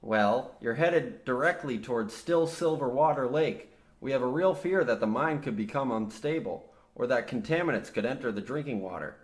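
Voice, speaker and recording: A man's voice: mid-range voice, animated, normal speed, lightly acted. An actor playing a businesslike young mine surveyor, a polite, matter-of-fact straight man in a comedic radio play. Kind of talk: parody of old radio drama acting. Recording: roomy echo, faint noise.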